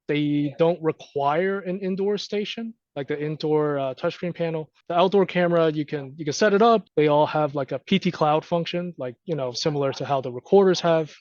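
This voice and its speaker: A man with a slightly high voice leads a technical training session. He talks informally and thinks aloud through each step of an unscripted walkthrough. The sound is dull and lo-fi. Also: no echo. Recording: dry, dull lo-fi audio.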